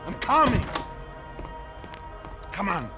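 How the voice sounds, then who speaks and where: An actor voicing a nervous, jittery office technician in a video game, anxious and hurried. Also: male voice, high voice, huge pitch swings, exaggerated voice. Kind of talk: video game cutscene acting. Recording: music behind the voice.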